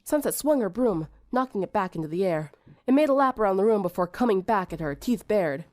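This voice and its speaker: A woman narrates fiction in an expressive audiobook style. Her medium-pitched voice moves up and down in a lively way, and it sounds dry, with very little room around it. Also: fairly quick, no room sound. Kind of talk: expressive scripted story narration.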